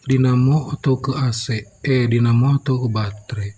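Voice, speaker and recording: A man's voice: medium pitch, fairly even intonation, moderate pace, dark tone, breathy edge. A man giving a casual hands-on repair commentary, thinking aloud step by step as he works. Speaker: electronics repairer and video host.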